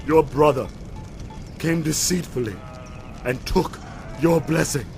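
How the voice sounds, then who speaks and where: An actor playing a frail, solemn old blind father with a deliberate delivery in a Bible audio drama. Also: on the high side, gravelly, a little mellow, slight background noise. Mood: shaken, distressed. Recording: dramatic music behind the voice.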